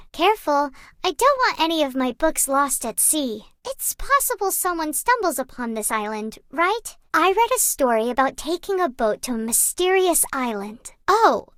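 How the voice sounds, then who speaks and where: A woman playing a gentle, bookish young librarian in a video game, wistful and earnest, like someone telling stories. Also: high-pitched, no room sound, studio quality. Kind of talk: video game character voice lines.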